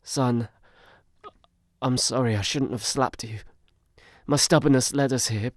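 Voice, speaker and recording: A man's voice: mid-range voice, a little slow, dry recording, studio quality, close to an everyday voice. A man playing a desperate, protective Sikh uncle in an audio drama, urgent and pleading. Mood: anguished, pleading.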